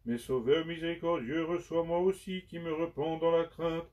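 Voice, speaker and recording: A man giving a slow, solemn liturgical recitation of penitential prayers in measured, prayerful phrases. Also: on the high side, fairly even intonation, darkish tone. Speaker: liturgical reader. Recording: dull sound from far off the mic.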